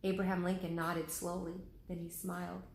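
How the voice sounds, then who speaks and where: A woman's voice: lowish voice, normal speed, vocal fry, echoey room, slight background noise. Woman reading a children's story aloud. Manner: expressive read-aloud, lively character voices.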